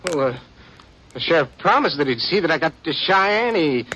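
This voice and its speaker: A frightened, whining western fugitive on old radio. The man's voice sits slightly high and stumbles as he complains. Faint recording noise runs underneath. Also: expressive, moderate pace, bright, forceful tone, lo-fi sound. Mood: bitter, panicky. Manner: jittery, stammering, querulous complaining. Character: nervous, skittery, self-pitying outlaw turned informer fearing revenge. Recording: noisy vintage radio recording.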